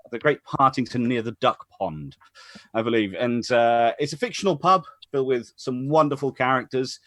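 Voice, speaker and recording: A man's voice: mid-range voice, fairly bright tone, dry recording. A man hosting a casual live stream as announcer, chatty and rambling as he introduces things. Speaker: host and announcer. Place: online group call.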